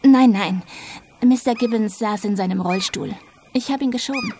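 A woman's voice: a little high, normal speed, warm tone, slight background noise, lightly acted. A woman playing an uneasy, defensive carer in a crime audio drama, speaking earnestly. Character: uneasy, defensive female carer of an old man.

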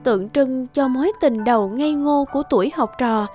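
A woman's voice: sits high, brisk, darkish tone, faint music behind. A woman giving scripted storybook narration for a cartoon fairy tale.

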